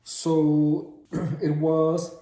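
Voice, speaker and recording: A man with a heavy Mexican accent reading a book aloud slowly and stopping to puzzle over words, with casual swearing. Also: a little high, completely flat delivery, dark tone. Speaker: book reader and explainer. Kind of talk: casual read-along of a book. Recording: echoey room.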